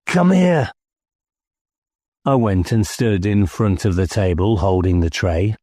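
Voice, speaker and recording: A man's voice: sits low, moderate pace, mellow, no room sound, clean studio sound. A man reading scripted first-person story narration as an audiobook narrator.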